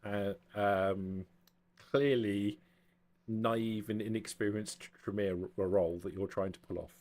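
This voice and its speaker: A man's voice: fairly low pitch, steady tone, unhurried, a little mellow. A man working as game master, improvising narration and talking players through a tabletop game.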